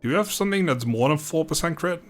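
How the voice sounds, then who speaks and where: A man's voice: fairly high pitch, lively intonation, quick pace. A man giving casual, rambling, self-deprecating commentary as he livestreams a video game. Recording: music playing behind the voice.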